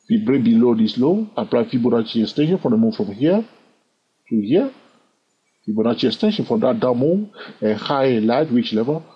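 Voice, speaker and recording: A man teaching a chart lesson over his screen, animated and full of rhetorical questions, chuckling now and then. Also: mid-range voice, moderate pace, slightly rough. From lives in London. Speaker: trading educator. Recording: dull lo-fi sound.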